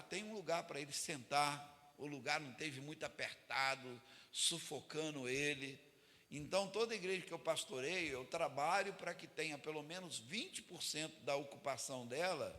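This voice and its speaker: A man's voice: on the high side, bright, forceful tone. A Brazilian man, an evangelical pastor, preaching informally to church leaders in a colloquial way, often seeking agreement from his listeners. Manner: colloquial, asks for amens and confirmation. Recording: echoey hall with long reverb.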